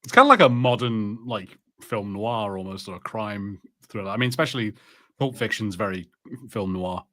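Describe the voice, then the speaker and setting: A man co-hosting a podcast in casual watch party chat, halting and self-deprecating as he talks. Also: on the low side, expressive, pushed and bright. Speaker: podcast co-host.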